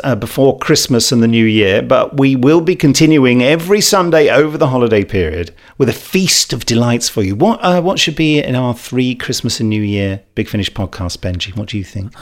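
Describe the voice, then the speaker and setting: A man's voice: medium pitch, expressive, normal speed, hardly any pauses. A British man hosting a casual podcast, chatting in a jokey, rambling, hesitant way. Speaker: podcast host. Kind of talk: casual unscripted podcast chat.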